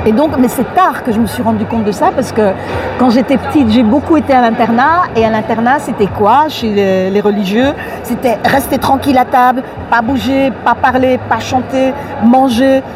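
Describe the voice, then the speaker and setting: A woman's voice: fairly high pitch, low-quality recording. A woman from Belgium, a musician guest, telling her story in a hesitant, filler-filled casual way. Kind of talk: casual interview. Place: outdoors at an urban site. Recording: outdoor recording with crowd behind.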